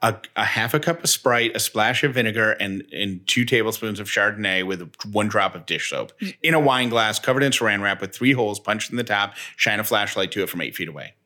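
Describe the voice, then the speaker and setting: A man's voice: on the low side, a little fast, hardly any pauses, sharp tone. An American man in his thirties chatting casually as a co-host, emphatic, often repeating and restarting phrases. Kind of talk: casual personal chat.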